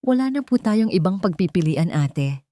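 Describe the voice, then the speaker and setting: A woman voicing a desperate, humble young maidservant in an audio story, pleading in a hushed, hoarse voice. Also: fairly low pitch, lively intonation, moderate pace, natural voice. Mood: urgent pleading. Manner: pleading, respectful.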